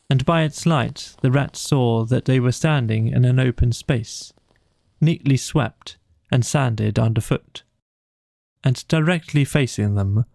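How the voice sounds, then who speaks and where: A man narrating a bedtime audiobook story from a script, with measured, deliberate phrasing. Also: mid-range voice, creaky, dark tone, dry recording, clean studio sound.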